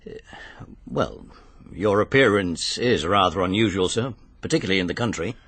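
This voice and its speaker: An actor playing a plain-spoken, polite police inspector in a British radio drama, matter-of-fact and deferential. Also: male voice, sits low, lively intonation, moderate pace, a bit bright, breathy edge, dry recording, full character voice. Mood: polite, hesitant.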